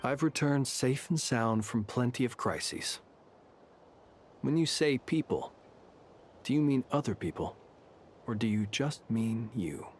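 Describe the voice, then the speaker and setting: Man voicing an unflappable, wry gentleman adventurer. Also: mid-range voice, expressive, slight background noise, close to an everyday voice. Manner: measured, courteous, drily nonchalant. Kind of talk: English video game voice acting. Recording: game audio with faint music.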